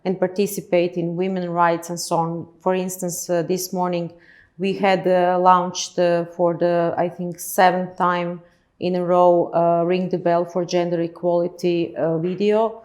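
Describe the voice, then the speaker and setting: A middle-aged woman with a Croatian accent, an executive giving a formal, halting introduction. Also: fairly low pitch, little pitch movement, darkish tone. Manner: halting, searching for words. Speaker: executive on a panel.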